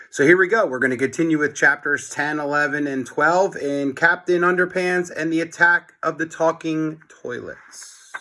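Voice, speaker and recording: A man reading a story aloud to children in an expressive way, speaking directly to his young listeners. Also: medium pitch. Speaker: children's book reader, teacher.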